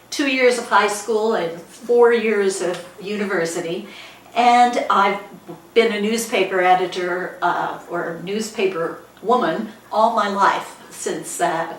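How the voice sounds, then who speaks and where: Older American woman, author giving an informal talk, halting delivery, recorded at a distance with background noise. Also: medium pitch. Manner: halting, with frequent pauses mid-sentence. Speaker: guest author and former newspaper editor. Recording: echoey room, distant mic, background noise.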